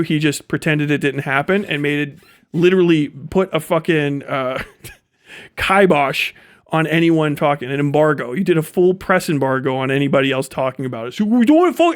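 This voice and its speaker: A man's voice: on the high side, expressive, brisk, smooth. A man giving off-the-cuff livestream commentary in a blunt, profane, sardonic way. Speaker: live streamer.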